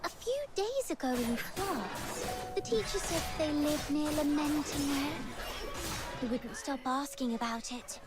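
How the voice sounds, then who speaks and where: A woman's voice: high voice, animated, measured pace. A woman voicing an anxious, earnest young schoolgirl in a video game, with a girlish voice. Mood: worried, earnest. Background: background music.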